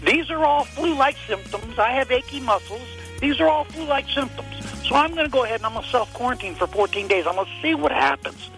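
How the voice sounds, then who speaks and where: A man's voice: very high-pitched, expressive, a little fast, fairly bright tone, faint music behind, low-quality recording. A man calling in to a talk show, indignant and rambling, with a stuffy nose and a cough. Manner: indignant, rambling, repeats himself. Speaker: caller. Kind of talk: call-in chat.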